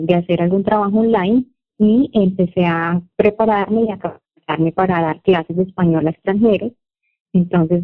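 A Colombian woman, a Spanish teacher, chatting relaxedly as an interview guest, pausing often on "eh". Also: medium pitch. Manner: relaxed, with frequent "eh" pauses. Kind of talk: casual interview chat. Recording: tinny phone-like line.

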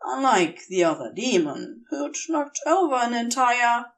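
A woman narrating fiction in a gentle, measured, expressive storytelling style. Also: on the high side, vocal fry, distant mic. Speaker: fan fiction audiobook reader.

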